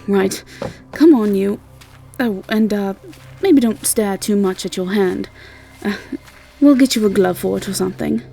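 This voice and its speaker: A woman's voice: mid-range voice, a little slow, creaky, dark tone, breathy edge, soft background music. Woman acting brash, breezy, playful young woman. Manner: casual, breezy, blunt. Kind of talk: audio roleplay acting.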